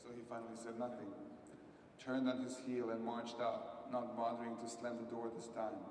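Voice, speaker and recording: A man's voice: medium pitch, darkish tone. A man with a Bosnian accent reading his own story at a live event, with dry asides. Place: event hall. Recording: echoey hall from far off, noisy.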